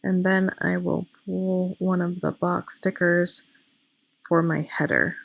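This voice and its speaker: Hoarse woman with a gravelly low voice. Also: level tone, slow, muffled recording. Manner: chatty, self-critical, explains each step. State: losing her voice, hoarse. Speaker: planner vlogger. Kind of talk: casual voice-over narration of a craft video.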